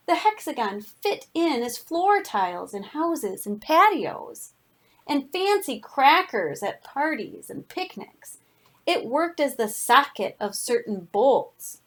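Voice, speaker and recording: A woman reading a children's picture book aloud as a teacher, with deliberate, expressive emphasis. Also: sits high. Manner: deliberate, expressive, dramatic emphasis for young listeners. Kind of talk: read-aloud children's storytelling.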